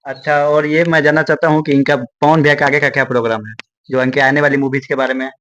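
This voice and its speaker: A man's voice: mid-range voice, level tone, quick pace, a bit bright, muffled recording. A man asking questions in an informal interview, deferential toward his guest.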